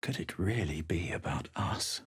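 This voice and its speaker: A self-assured young male adventurer from a fantasy role-playing game. The voice is husky and recorded very dry, with a dramatic, superior manner that suits both literary narration and sharp exclamations. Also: low voice, lively intonation, measured pace, no echo, exaggerated voice. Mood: brooding, measured.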